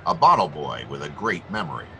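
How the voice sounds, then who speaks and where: An actor playing a wry, wisecracking private detective in an old-time radio drama, with clipped, slangy delivery. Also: male voice, a bass voice, big pitch swings, fairly quick, gravelly, a bit bright, slight background noise, exaggerated voice. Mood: wry narration. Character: wisecracking, hard-boiled male private detective.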